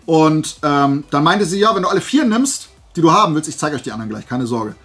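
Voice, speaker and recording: A man's voice: on the high side, animated, fairly quick, a bit bright, husky, faint music behind. A man vlogging casually in a colloquial storytelling way, acting out bits of dialogue, his throat dry and voice worn from days of talking. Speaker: host of a flea market haul video. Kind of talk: casual anecdotal vlog chat.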